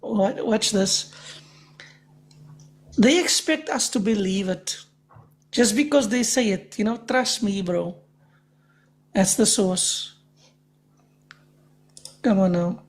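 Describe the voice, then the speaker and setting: A woman with a mid-range voice, speaking very slowly and deliberately. She is emphatic and pointed in casual live debate. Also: medium pitch. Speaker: livestream host. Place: livestream call.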